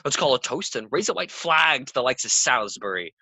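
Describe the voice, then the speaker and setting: A man's voice: mid-range voice, big pitch swings, brisk, sharp tone, no echo, full character voice. A man acting a restless, defiant theatre player in an audio play, agitated, bitter and argumentative. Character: restless, defiant, hot-tempered young male theatre player.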